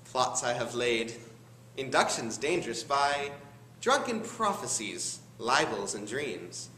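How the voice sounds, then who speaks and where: A teenage male student performing a bitter, deformed, scheming duke in a theatrical, deliberate Shakespearean stage monologue. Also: medium pitch, big pitch swings, bright, forceful tone, full character voice. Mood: scheming, menacing. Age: teens. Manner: theatrical, deliberate, dramatic pauses. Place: high school classroom. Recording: roomy classroom recording, faint background noise.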